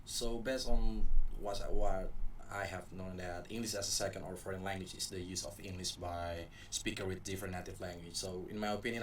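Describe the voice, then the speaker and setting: A young man with a very low voice answers interview questions, often repeating a word while he thinks. He is recorded from some distance. Faint background noise sits behind him. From Papua, Indonesia. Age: young adult. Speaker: college student guest.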